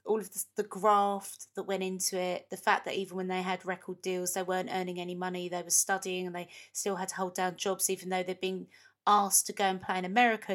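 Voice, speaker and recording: Woman host, chatty, mid-pitched, encouraging interviewer. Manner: chatty and encouraging, with short reactions. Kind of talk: casual interview chat.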